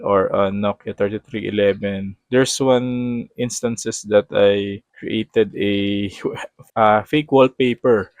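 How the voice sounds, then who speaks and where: A man's voice: fairly low pitch, darkish tone, no room sound. Filipino man chatting over a cozy game. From Philippines. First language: Tagalog. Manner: rambling, self-correcting, searching for words. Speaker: gaming vlogger. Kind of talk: casual gameplay commentary.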